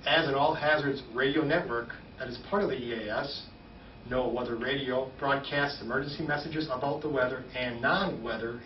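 A man in his forties giving a measured, formal, scripted informational presentation as a weather service spokesman. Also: mid-range voice. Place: small indoor operations room, standing at a podium. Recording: roomy, slightly dull, distant, faint noise.

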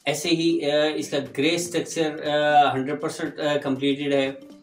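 Middle-aged pakistani guy explaining shop prices, lots of uhs, slightly high voice, sounds a bit far off in an echoey room. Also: little pitch movement, measured pace, faint music behind. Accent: Pakistani Urdu. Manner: explanatory, frequent "uh" fillers. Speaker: real estate agent. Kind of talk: property promotion talk to camera. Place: indoor room before green screen. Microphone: clip-on lapel mic.

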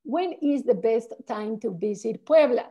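A woman's voice: fairly high pitch, animated, a little slow, dark tone, slightly rough, dull audio. A woman hosting a virtual tour over a video call, reading viewers' questions aloud in short, plain sentences.

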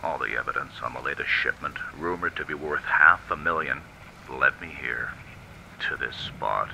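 An actor playing a world-weary, lovesick hard-boiled police detective in a brooding, slangy film noir radio drama style. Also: male voice, very deep voice, normal speed, creaky, sharp tone, slight background noise, exaggerated voice, poor audio.

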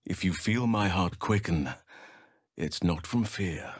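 A man voicing a noble, gentle-mannered archdruid in a fantasy video game, speaking in a measured, earnest, formal way. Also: low voice, slightly rough, no room sound, clean studio sound, heavily acted. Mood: tender, romantic. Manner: measured, earnest, formal, old-fashioned phrasing.

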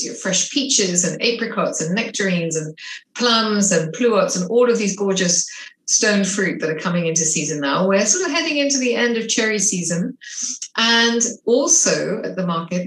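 Enthusiastic half-French woman cooking on a video call. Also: medium pitch. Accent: French and English mix. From half French, half English. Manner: chatty, enthusiastic, gesturing while cooking. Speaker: cooking instructor. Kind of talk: cooking demonstration. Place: home kitchen, indoors. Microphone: video call webcam. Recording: echoey kitchen with faint noise.

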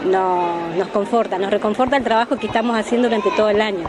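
A woman's voice: mid-range voice, fast talker. A woman, an artisan vendor speaking earnestly and with energy in an interview. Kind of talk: casual interview. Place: large indoor fair hall. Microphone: handheld mic. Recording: crowd chatter behind.

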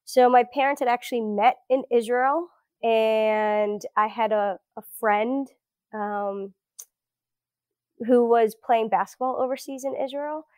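A woman's voice: fairly high pitch, fairly even intonation, takes it slowly, a little mellow, dry recording, clean studio sound. A woman in her thirties from South Florida, chatting as a guest in a casual interview, with many fillers and restarts. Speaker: guest researcher.

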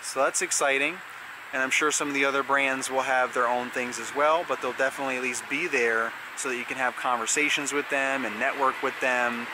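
Relaxed man talking outdoors in the woods. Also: medium pitch, a little fast, background noise. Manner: relaxed, conversational. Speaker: event organizer. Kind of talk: casual vlog announcement. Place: wooded park, outdoors. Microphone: handheld camera close to the face.

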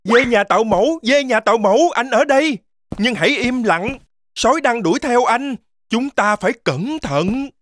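A woman's voice: fairly high pitch, animated, normal speed, slight background noise, lightly acted. A woman voicing a timid, distrustful little boy goat in a children's cartoon, anxious and indignant. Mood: wary.

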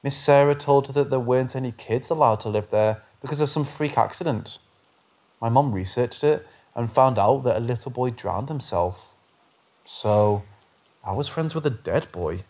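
A man narrating reader-submitted paranormal stories in a steady, measured storytelling delivery. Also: medium pitch. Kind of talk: scripted narration of scary stories.

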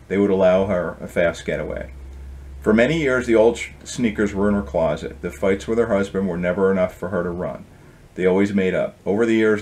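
Low-voiced middle-aged man reading his book. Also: a little mellow. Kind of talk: storybook reading with casual introduction. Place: indoor home office.

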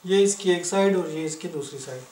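A man from Pakistan showing clothes for sale, listing prices in a brisk, matter-of-fact way. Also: high voice, distant mic, heavy background noise. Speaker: online clothing seller. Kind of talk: casual product showcase. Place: indoors, filming fabrics on the floor. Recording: echoey room, somewhat off-mic.

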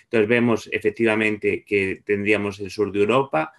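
A man's voice: lowish voice, steady tone, normal speed. A man in his thirties giving an explanatory academic lecture over a video call, often rephrasing and correcting himself as he goes. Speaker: presenter in an academic seminar. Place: indoor room on a video call. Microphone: video call microphone.

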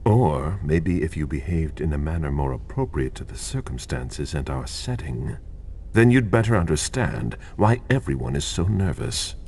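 Very deep, dignified vampire professor. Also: male voice, big pitch swings, gravelly, darkish tone, slight background noise, exaggerated voice. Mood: admonishing. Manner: formal, measured, admonishing. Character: stern, dignified old aristocratic male vampire professor. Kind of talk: dramatic game cutscene acting.

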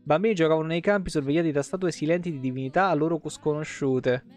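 A man's voice: on the high side, fast talker. A man, livestreaming as he reads game lore aloud expressively, with offhand asides and self-corrections. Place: indoor room, webcam livestream. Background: soft guitar game music.

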